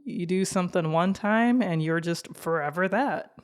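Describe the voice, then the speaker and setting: A young woman hosting a solo podcast, talking slowly in a flat, monotone voice, laid-back and candid with fillers. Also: lowish voice. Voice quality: monotone, slow-spoken. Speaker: podcast host. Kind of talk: casual solo podcast monologue.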